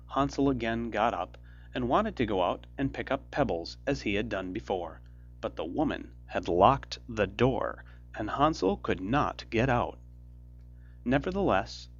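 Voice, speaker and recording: A man narrating a fairy tale as a storyteller, in a measured, expressive storybook delivery. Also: fairly low pitch, lo-fi sound. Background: music.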